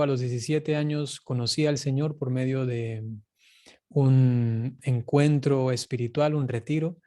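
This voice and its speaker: A male priest from Ecuador with an Ecuadorian accent and a soft-edged, mellow voice of average pitch. He answers as an interview guest, reflective and devout. His delivery is earnest and measured.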